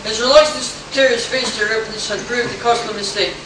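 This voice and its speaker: A man's voice: extremely high pitch, steady tone, brisk, bright, forceful tone. A man playing a dramatic pulp science fiction narrator in an amateur live radio play, theatrical and stumbling over the script. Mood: dramatic, grave. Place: live convention stage, indoors. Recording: echoey, distant, noisy hall recording.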